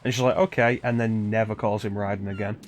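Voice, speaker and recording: A man's voice: lowish voice, steady tone, fast talker, a little hiss or hum. A man streaming a video game with casual live commentary, talking in rambling, conversational asides.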